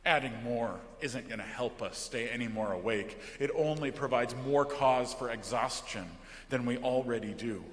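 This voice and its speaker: An American man preaching a sermon as a pastor, earnest and emphatic, with phrases that often trail off softly. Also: mid-range voice, normal speed. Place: large indoor church during worship. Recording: echoey church hall with long reverb.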